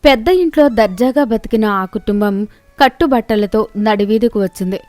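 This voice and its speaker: A woman's voice: a little high, normal speed, darkish tone, dry recording. A woman narrator reading a scripted moral story.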